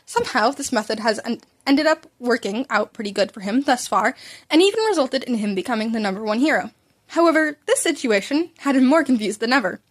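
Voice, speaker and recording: A woman's voice: a little high, lively intonation, fairly quick. A woman reading fan fiction aloud in a casual, informal way, sometimes stumbling over her words. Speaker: amateur podfic narrator.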